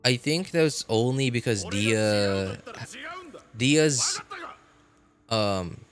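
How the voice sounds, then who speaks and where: A man's voice: medium pitch, big pitch swings, drawn-out words, faint music behind. A man casually chatting with viewers while he plays on a livestream, rambling and rephrasing himself as he goes. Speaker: game livestreamer. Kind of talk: casual chat with live stream viewers while gaming.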